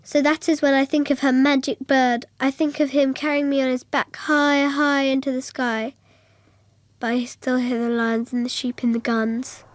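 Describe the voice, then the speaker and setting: A woman's voice: high-pitched, little pitch movement, normal speed, no room sound, close to an everyday voice. An actor playing a dreamy, earnest little girl, narrating simply and innocently in a radio drama. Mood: dreamy, confiding.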